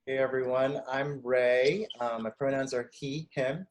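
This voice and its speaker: A man's voice: mid-range voice, fairly even intonation, unhurried, a little hiss or hum. A man speaking in an upbeat, perky way as a panel guest on a video call.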